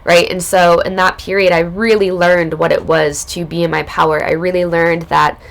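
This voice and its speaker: A woman recovering from illness, her voice hoarse and raspy, telling a personal spiritual story in a casual, loose way as a podcast host. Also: on the low side, flat delivery, moderate pace. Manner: casual, loose, spiritual talk with many fillers.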